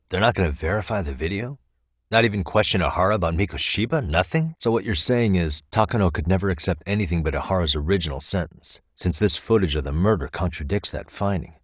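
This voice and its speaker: A male actor voicing a composed, determined private detective in a video game, with a measured, probing delivery. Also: deep voice, breathy edge, no room sound, clean studio sound. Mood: focused, determined. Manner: measured, probing, matter-of-fact. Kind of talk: English video game dialogue dub. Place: dry recording studio.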